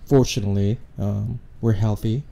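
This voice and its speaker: A hoarse man in casual podcast chat on a couch, speaking thoughtfully with pauses and fillers into a handheld mic. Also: fairly low pitch, fairly even intonation, mellow. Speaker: podcast co-host. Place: living room couch. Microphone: handheld mic close to mouth.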